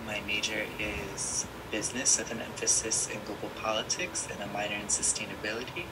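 A young man from the United States introducing himself slowly, halting with fillers. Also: lowish voice, little pitch movement, heavy background noise. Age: young adult. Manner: slow, halting. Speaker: interviewee, exchange student.

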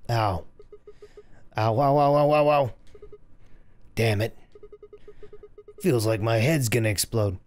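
A man voicing a confused young male game hero in a low, gravelly, tough-guy growl. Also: takes it slowly, pauses often, darkish tone, lightly acted. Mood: gruff.

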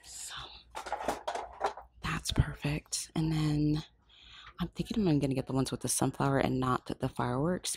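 A woman filming a shop-with-me vlog in a craft store aisle on a handheld phone, excited and gushing, often dropping to a whisper. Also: deep voice, slight background noise. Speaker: shopping vlogger.

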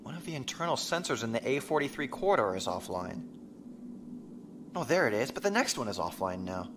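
Dutiful space-navy officer acted by a man, audio drama, official and military, lively intonation, noisy ambience behind. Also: medium pitch, brisk. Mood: uneasy deference. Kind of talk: science fiction audio drama acting. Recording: clear background noise and ambience.

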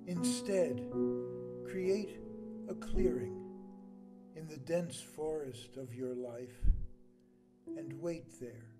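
A man reading a poem deliberately in spaced phrases, over piano accompaniment. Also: on the high side. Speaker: poetry reader. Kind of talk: slow poetry reading.

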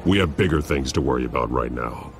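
An actor playing a grim, stoic masked vigilante in a video game, gravelly and terse. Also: male voice, extremely low pitch, noisy background. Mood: grim, resolute. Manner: terse, deliberate.

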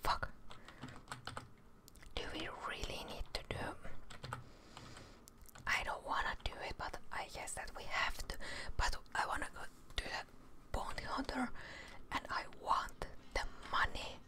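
A woman's voice: a little slow, pushed and bright. A young woman whispering breathily and musing aloud to viewers as she streams a game in ASMR style, close to the microphone. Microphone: close studio microphone on desk.

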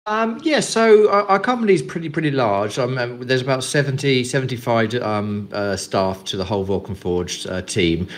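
A man's voice: medium pitch, normal speed, hardly any pauses. A man from England, interviewed as a guest, answering in a loose chat with many fillers and repeated words. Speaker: guest, company representative. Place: Greece.

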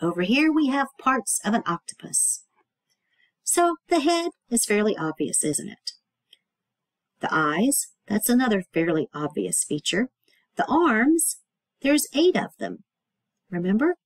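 A woman reading aloud to young children as a teacher, in an expressive way, adding simple asides and checking questions. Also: fairly high pitch, unhurried, creaky, pauses often, no room sound.

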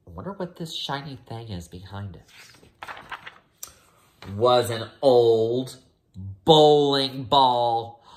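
American male teacher, thirties, storybook read-aloud for young kids, very lively pitch, unhurried pace. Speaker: teacher reading to first graders. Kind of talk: animated picture-book read-aloud with casual asides. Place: small room at home. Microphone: phone or laptop at arm's length.

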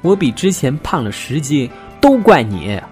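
A prickly young academic, acted by a man for an audio drama. He speaks in curt, grumbling lines with lively swings in pitch. There is faint music underneath. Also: normal speed, close to an everyday voice. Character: prickly, curt young academic, secretly fond of his boyfriend.

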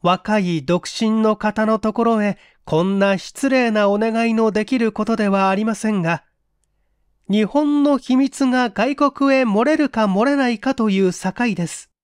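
A woman voicing an earnest, urgent male secret agent, polite and measured, in a dramatic audiobook reading. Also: fairly high pitch, darkish tone, clean tone, dry recording, clean studio sound, close to an everyday voice. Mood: urgent, pleading.

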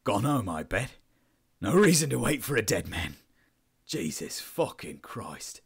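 A man's voice: on the low side, expressive, moderate pace, slightly rough. A sullen, defiant man held captive, in an audiobook story acted out by a male narrator. He is insolent and foul-mouthed, and he snarls his lines at whoever is questioning him. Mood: bitter, despairing.

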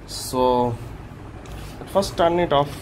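A man hosting a hands-on tech teardown, speaking in short phrases with halting pauses. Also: on the high side, a little mellow, slight background noise, poor audio. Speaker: tech video host. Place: small indoor workshop room.

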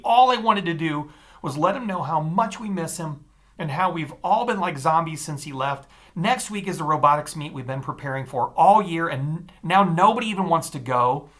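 A man's voice: extremely high pitch, gravelly, pitched above the performer's own voice, full character voice. A man reading an audiobook, voicing a tearful, earnest teenage girl who pleads in a raised voice. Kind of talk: audiobook character voice.

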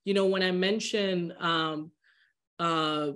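A woman's voice: on the low side, steady tone, slow pace, fairly bright tone. Earnest young woman from the Dominican Republic. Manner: earnest, restarts and repeats words as she thinks. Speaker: guest, social entrepreneur. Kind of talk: candid interview conversation. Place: home living room. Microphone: video call.

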